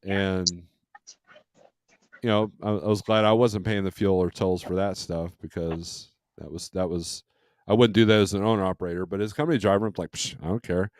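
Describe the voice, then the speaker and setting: A man's voice: low-pitched, fairly even intonation, fairly quick, no room sound, studio quality. A man in his fifties with an American accent, swapping stories in a casual open-forum chat as host. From California, United States. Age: 50s. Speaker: host, truck driver and dispatcher.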